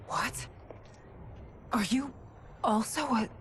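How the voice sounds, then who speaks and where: An actress playing a proud, earnest warrior queen in a video game, often hushed and wistful. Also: fairly high pitch, takes it slowly, a little hiss or hum. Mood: startled, confused. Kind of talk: dramatic video game cutscene acting. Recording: music behind the voice.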